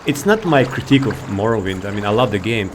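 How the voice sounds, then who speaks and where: A man's voice: lowish voice, lively intonation, quick pace, background noise. A man giving casual, rambling gameplay commentary, laid-back and thinking aloud. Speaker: gaming video creator.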